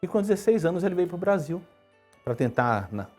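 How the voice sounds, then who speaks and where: A man from Salvador in his fifties talks fast and fluently about his life, in a husky, mellow voice. He tells his story in a conversational way. Soft music plays faintly behind him. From Brazil (Salvador, Bahia). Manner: quick, storytelling, lively pitch swings. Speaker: interview guest, IT executive. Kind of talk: conversational interview answers.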